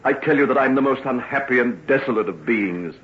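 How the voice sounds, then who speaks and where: An actor playing a troubled, remorseful gentleman doctor, earnest and theatrical, in an old-time radio drama. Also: mid-range voice, lively intonation, brisk, lightly acted. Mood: anguished, remorseful. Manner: earnest, theatrical, formal phrasing. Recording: muffled, noisy old radio recording.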